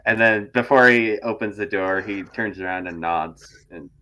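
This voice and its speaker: A man's voice: on the low side, normal speed, sharp tone, a little hiss or hum, poor audio. A man playing a tabletop roleplaying game, casually narrating his character's actions in the third person.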